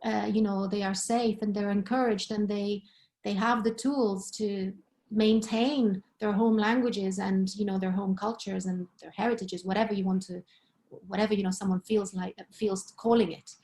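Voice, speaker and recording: A woman in her thirties, a guest expert, speaking in a measured way from her living room on a video call, thinking aloud with frequent "you know"s. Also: medium pitch, steady tone, darkish tone. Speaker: guest expert on language and culture. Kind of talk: webinar discussion. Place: living room at home, indoors. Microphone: laptop webcam on a video call.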